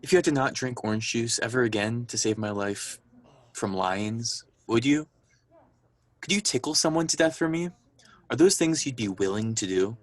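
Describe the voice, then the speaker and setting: A man's voice: medium pitch, normal speed, no room sound, natural voice. A young man playing an exasperated, evasive boyfriend in a contemporary radio drama, defensive and bantering. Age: young adult. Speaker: student voice actor.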